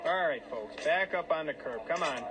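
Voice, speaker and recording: A man's voice: on the high side, expressive, normal speed, a crowd in the background, lightly acted. An actor playing a commanding, official policeman in a radio drama.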